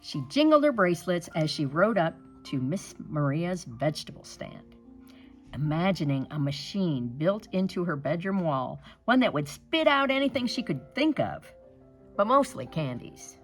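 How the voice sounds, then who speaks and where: Woman storyteller, picture book read-aloud; low-pitched, highly animated, light music bed. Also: moderate pace. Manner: expressive, dramatic storybook narration.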